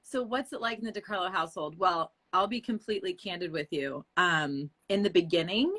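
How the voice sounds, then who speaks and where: A woman in her thirties from the Bay Area, a real estate agent and podcast guest, telling candid, animated stories and laughing often. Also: fairly low pitch, fairly quick, slightly rough. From San Francisco Bay Area. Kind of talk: casual interview chat.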